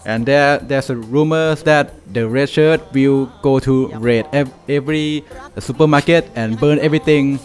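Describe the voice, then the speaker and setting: A man with a Thai accent recounts a memory in a relaxed podcast chat. He hesitates, repeats words and restarts sentences often. His pitch is middle, with faint music in the background. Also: moderate pace. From Thailand. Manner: hesitant, many fillers and restarts. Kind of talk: casual storytelling.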